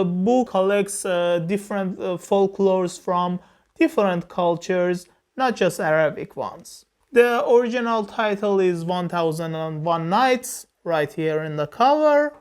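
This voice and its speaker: Woman, film review host, unhurried pace, measured lecturing tone, frequent "uh" pauses. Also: fairly low pitch, darkish tone, clean tone. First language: Persian. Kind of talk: explanatory film review talk.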